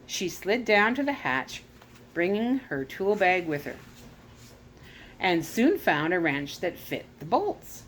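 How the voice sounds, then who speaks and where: A woman reading a children's chapter book aloud as a storyteller, expressive and animated, now and then correcting herself. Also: on the low side, slow pace, a little hiss or hum. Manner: expressive read-aloud with lively rises on exclamations; occasional self-corrections.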